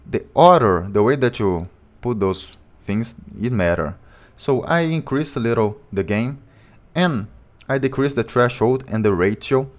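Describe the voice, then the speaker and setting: A man with a low voice, a music producer walking through his vocal chain step by step in a plain, informal tutorial. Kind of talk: tutorial walkthrough.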